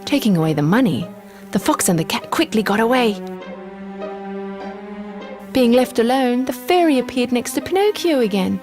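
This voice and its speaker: A woman's voice: on the high side, expressive, normal speed, pauses often, over music. A woman narrating a scripted fairy tale for children.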